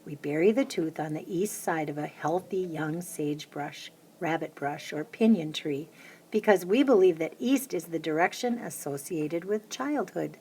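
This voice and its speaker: A woman reading a children's picture book aloud, lifting her voice high and bright for the little chants. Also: normal speed, husky. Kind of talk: storybook read-aloud.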